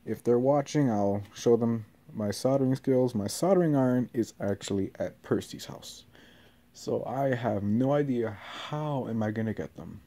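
A man's voice: mid-range voice, moderate pace, dark tone. A young man talking casually in a meandering, hesitant, offhand way, like an amateur vlogger on a handheld phone in a small bedroom. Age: young adult. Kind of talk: casual rambling vlog talk. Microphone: handheld phone at arm's length. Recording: noisy phone recording with clear hiss.

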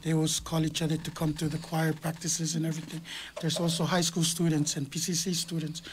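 A man who sings in a church choir, talking as a radio guest in a small studio, loud, earnest and rambling. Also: on the high side, level tone, quick pace, a little hiss or hum. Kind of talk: casual radio chat. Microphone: table mic.

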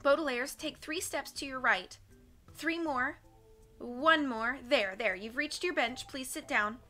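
Lady reading stern judge, formal and firm, bright highish voice, soft music under it. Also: unhurried. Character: stern female judge presiding over a trial.

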